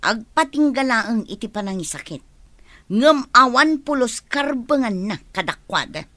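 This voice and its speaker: A woman's voice: fairly high pitch, lively intonation, measured pace, bright, forceful tone, dry recording. A firm, earnest woman.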